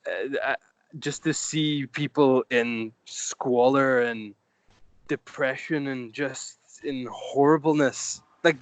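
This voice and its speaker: A man's voice: mid-range voice, dry recording. Man, podcast guest, blunt, swears freely, dry close sound. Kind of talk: casual chat.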